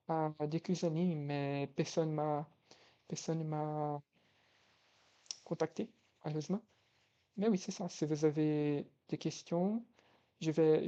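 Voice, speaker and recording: A young Brazilian man, a language tutor whose first language is Portuguese, speaking French with a Brazilian Portuguese accent in a casual video diary, pausing often and restarting his sentences. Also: on the high side, warm tone, muffled recording. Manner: hesitant, frequent restarts and self-corrections.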